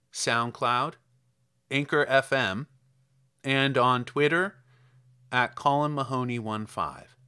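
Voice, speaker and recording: A man's voice: mid-range voice, bright, forceful tone, clear, resonant tone. A man hosting a podcast, giving a scripted introduction to a reading. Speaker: podcast host and reader. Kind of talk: introducing the episode, scripted narration.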